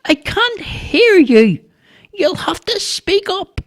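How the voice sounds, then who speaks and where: A man's voice: very high-pitched, very animated, slow pace, voice pushed up, heavily acted. A man voicing an older, hard-of-hearing Irish woman neighbour, chatty and apologetic, in a storybook. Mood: straining to hear.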